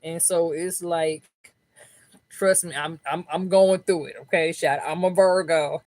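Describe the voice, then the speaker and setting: A woman giving a live tarot reading in casual, slangy, profane talk. She keeps checking in with her listeners and sometimes drops her voice low into a close microphone. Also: steady tone, measured pace, darkish tone, dry recording. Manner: slangy, profane, keeps asking "you know what I'm saying"; lowers her voice to keep it down. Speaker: tarot reader. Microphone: own microphone, close up.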